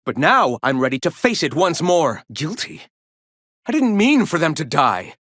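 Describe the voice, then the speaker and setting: A zealous game-character cult leader with a bright, forceful, fairly high voice. He preaches in grandiose, theatrical phrases that swing widely in pitch. The recording is dry and close. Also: very animated, measured pace, dry recording, full character voice. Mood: anguished, defensive. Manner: grandiose, sermonizing, theatrical oratory. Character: zealous, preachy male leader of a colonial religious movement. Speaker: movement leader. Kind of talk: scripted video game voice acting.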